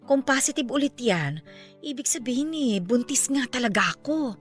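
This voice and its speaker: Anxious, animated Filipino aunt. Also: female voice, high-pitched, moderate pace. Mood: anxious, hesitant. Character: anxious middle-aged aunt, surprised to be pregnant. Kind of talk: radio drama acting. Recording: music bed under the voice.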